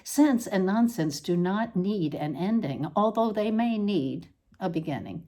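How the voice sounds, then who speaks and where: A woman reading a scripted literary essay aloud, with measured, deliberate phrasing and pointed pauses. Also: medium pitch, lively intonation, dark tone. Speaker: reader.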